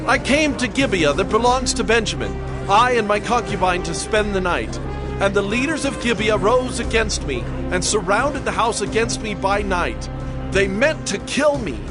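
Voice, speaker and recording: An actor playing a resolute traveler and master, speaking in an earnest, declamatory way in scripture drama. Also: male voice, sits high, animated, moderate pace, low-quality recording. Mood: grieved, outraged. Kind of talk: dramatized audio Bible acting. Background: background music.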